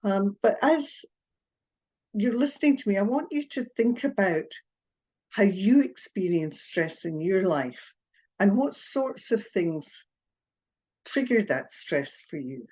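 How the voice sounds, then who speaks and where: An older woman from Scotland with a Scottish accent softened by Australian tones, a therapist presenting a webinar with hesitant, apologetic talk full of fillers. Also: mid-range voice, expressive, slow pace. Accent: Scottish, partly Australian. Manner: hesitant, apologetic, with filler sounds and little laughs. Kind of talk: online presentation. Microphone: video call. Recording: muffled video call audio.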